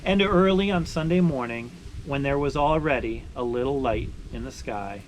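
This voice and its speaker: A man reading a picture book aloud to children with expressive, deliberate phrasing, stressing words as he narrates. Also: medium pitch, low-quality recording. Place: beside a boiling maple sap evaporator. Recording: steady ambient background noise.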